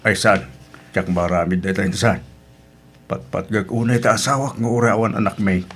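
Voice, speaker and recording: Man acting easygoing, lowish-voiced Ilocano husband. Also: steady tone, normal speed, fairly bright tone, slight background noise. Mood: defensive, content. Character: easygoing, devoted childless husband running a market stall. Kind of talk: Ilocano radio drama acting.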